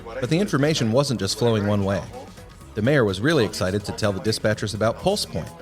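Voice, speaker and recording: A man's voice: on the low side, lively intonation, quick pace, music in the background. A man hosting and narrating a scripted TV segment. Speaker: host and narrator.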